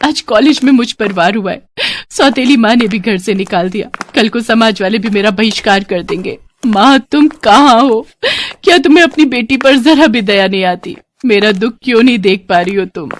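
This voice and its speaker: A woman's voice: a little high, normal speed, natural voice. A woman voicing a meek, sorrowful young outcast stepdaughter in a storybook tale, pleading and plaintive. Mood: grieving.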